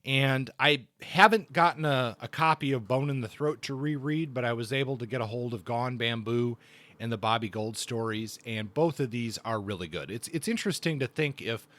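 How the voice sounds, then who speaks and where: A man hosting a casual book review podcast, speaking in a thoughtful, halting way with repeated words. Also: medium pitch, fairly bright tone.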